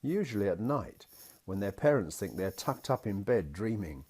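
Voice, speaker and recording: A man voicing a gentle, patient father in a picture-book story, reassuring his small daughter as he explains things. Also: fairly low pitch, very animated, normal speed, breathy edge.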